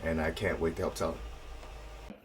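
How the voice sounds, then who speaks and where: Very deep-voiced sincere man. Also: level tone, measured pace, a little hiss or hum. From Portland, Oregon, United States. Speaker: actor introducing himself. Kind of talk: casual self-introduction.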